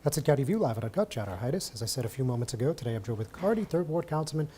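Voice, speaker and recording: Fast-talking male talk show host. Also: mid-range voice, very animated, dark tone, breathy edge, soft background music. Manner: chatty, lots of fillers. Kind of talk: casual political talk show.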